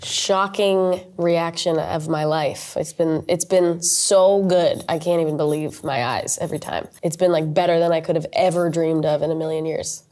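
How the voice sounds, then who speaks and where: A woman singer being interviewed, talking in a relaxed, wordy way about her music. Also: sits low, normal speed, few pauses. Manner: relaxed, wordy, often laughs. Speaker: singer guest. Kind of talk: casual interview chat.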